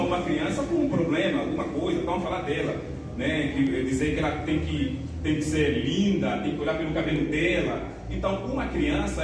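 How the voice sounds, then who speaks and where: A man from Angola with an Angolan Portuguese accent, speaking at a church community event in a measured way, with many pauses and filler words. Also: fairly high pitch. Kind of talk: reflective talk to an audience. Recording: echoey hall, distant mic, noisy.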